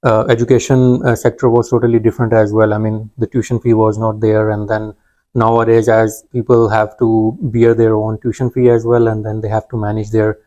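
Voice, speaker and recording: A man's voice: fairly low pitch, completely flat delivery, a little fast, warm tone, muffled recording. A man hosting an interview, polite, with frequent murmured backchannels. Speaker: host.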